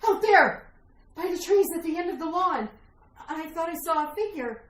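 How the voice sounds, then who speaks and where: A woman's voice: very high-pitched, animated, fairly quick. A woman playing a frightened, pleading wife in a melodramatic retro radio mystery. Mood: alarmed. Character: terrified wife fleeing a witch's ghost. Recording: roomy sound with faint noise.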